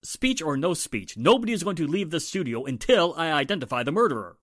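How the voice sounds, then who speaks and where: A man performs a stern police inspector from a 1940s radio mystery. His voice is a bit high for a man, with emphatic swings, authoritative and recorded dry. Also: sing-song, a little fast, a bit bright, no room sound. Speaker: actor in an amateur radio drama re-creation.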